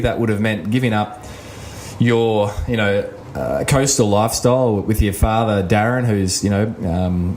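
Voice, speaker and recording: A man's voice: fairly low pitch, darkish tone, slight background noise. A man hosting a podcast interview, asking long, rambling questions full of fillers. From Australia. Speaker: podcast host.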